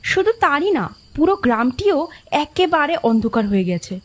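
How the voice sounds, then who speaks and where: A woman's voice: sits high, big pitch swings, moderate pace. A woman giving scripted narration of a ghost story as its narrator.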